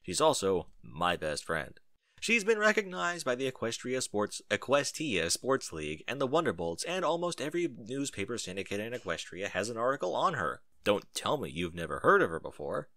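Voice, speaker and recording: An earnest storybook pony played by a man who knows it all and explains with emphasis. The voice is bright and dry, with lively swings in pitch. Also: normal speed, no room sound. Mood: earnest, insistent. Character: earnest, know-it-all pony friend of an athlete.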